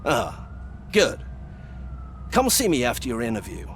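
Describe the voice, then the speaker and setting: An actor playing a smug, businesslike prison warden in a video game. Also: male voice, medium pitch, wildly swooping pitch, unhurried, fairly bright tone, a little hiss or hum. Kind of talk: video game cutscene dialogue.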